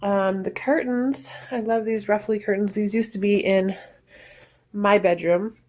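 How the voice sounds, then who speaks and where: A woman's voice: medium pitch, steady tone, a little slow, a little mellow. A woman in her thirties with a stuffed-up nose, rambling casually as she films a home tour at arm's length, with frequent ums. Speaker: vlogger. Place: family home, kitchen and kids' bedroom, indoors. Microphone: handheld camera at arm's length.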